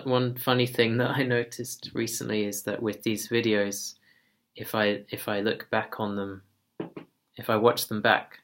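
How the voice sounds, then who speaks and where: A man giving a casual personal update in a slow, monotone, calm voice. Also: fairly low pitch. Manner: soft-spoken, relaxed, occasional quiet laughs. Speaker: vlogger.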